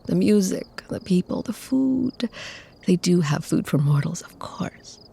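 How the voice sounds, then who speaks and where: A woman playing a protective, possessive female vampire who speaks softly and tenderly to her mortal lover in an audio roleplay. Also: fairly low pitch, animated, a little slow, heavily acted. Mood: tender, reassuring. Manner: soft, soothing endearments, breathy, genteel. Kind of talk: scripted ASMR audio roleplay.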